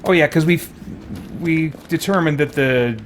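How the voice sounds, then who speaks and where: A man's voice: on the high side, measured pace, slight background noise. A man giving casual let's-play commentary, thinking aloud in a tentative way as he goes. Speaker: gaming video host.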